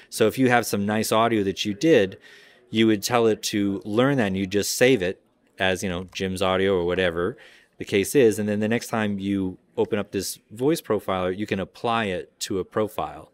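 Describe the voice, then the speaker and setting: Male demonstrator, lowish pitch, conversational and explanatory, informal product walkthrough. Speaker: audio software company representative giving a demo.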